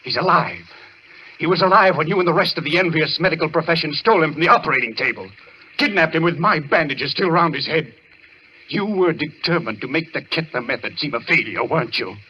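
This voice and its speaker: An actor playing a deranged, embittered former surgeon held in an asylum, obsessive, repetitive and accusing, in an old radio thriller. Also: male voice, fairly high pitch, expressive, fairly quick, natural voice. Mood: accusing, agitated. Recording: muffled, noisy vintage radio recording.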